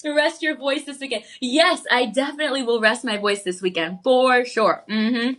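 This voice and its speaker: Woman, shopping channel host, slightly high voice, congested, chatty live sales pitch, a cough now and then. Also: normal speed, fairly bright tone. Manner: chatty, upbeat, reads viewer comments aloud.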